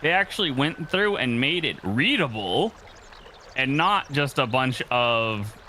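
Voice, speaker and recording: A man co-hosting a game stream in casual, joking chat, often halting as he speaks. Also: mid-range voice, very animated, sharp tone, slight background noise. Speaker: stream co-host. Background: game music.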